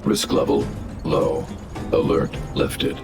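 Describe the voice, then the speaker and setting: A man's voice: takes it slowly, natural voice. A man voicing a huge, stern robot guardian in a video game, speaking in clipped, formal, coldly analytical phrases. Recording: game music behind the voice.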